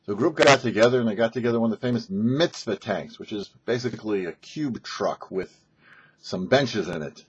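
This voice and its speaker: An American man narrates a religious story in a mid-pitched, husky voice. He tells it as an anecdote, voicing bits of dialogue as a host would. The recording is a little dull and lo-fi.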